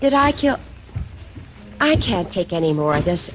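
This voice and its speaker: An actress playing a haughty, defensive murder suspect in a 1950s radio drama, indignant and formal in manner. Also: female voice, on the low side, sing-song, measured pace, slight background noise, rough recording. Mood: agitated, rattled. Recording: old radio recording with music.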